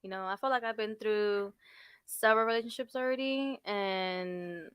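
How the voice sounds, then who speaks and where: A woman in her twenties introducing herself on a dating show, relaxed and chatty. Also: mid-range voice, moderate pace, clean tone, dry recording, studio quality. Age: 20s. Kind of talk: casual self-introduction.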